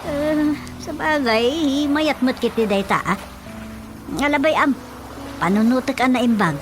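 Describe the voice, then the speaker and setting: A woman's voice: sits high, expressive, a little slow, over music. A woman with an Ilocano accent playing a thrifty, fretful mother in a comedic radio drama, fussing and exclaiming. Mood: hesitant.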